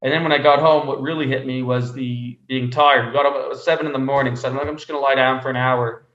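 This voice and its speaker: Echoey, halting man telling personal stories. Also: medium pitch, fairly even intonation, a bit bright. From Canada (Montreal). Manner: halting, repeats words as he goes. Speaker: interview guest. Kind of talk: conversational personal storytelling. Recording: roomy echo, slightly dull sound.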